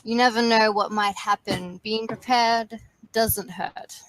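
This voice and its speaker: A woman playing a responsible, fatherly young male leader, speaking in an earnest, measured way in an amateur fan fiction reading. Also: a little high, fairly even intonation, a bit bright, a little hiss or hum, low-quality recording. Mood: earnest concern.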